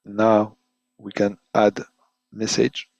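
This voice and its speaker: A man presenting an unscripted webinar software demonstration in halting, hesitant phrases, often repeating words as he goes. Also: lowish voice, completely flat delivery, creaky, no room sound, lo-fi sound. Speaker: product presenter giving a software demo.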